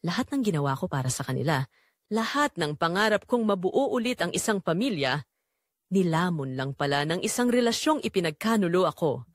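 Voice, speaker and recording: A woman playing a betrayed middle-aged mother, telling her story in first person with solemn, emotive, deliberate phrasing in an audio drama. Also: on the low side, big pitch swings, dry recording, clean studio sound. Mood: bitter, grieving. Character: betrayed middle-aged mother and wife, wounded, quietly bitter.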